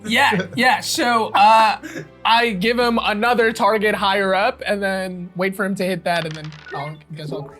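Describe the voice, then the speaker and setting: A woman's voice: mid-range voice, steady tone, measured pace, a bit bright, soft background music. A woman playing a tabletop roleplaying game, casually describing what her character does and chatting with the group. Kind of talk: casual chat, describing own character's moves.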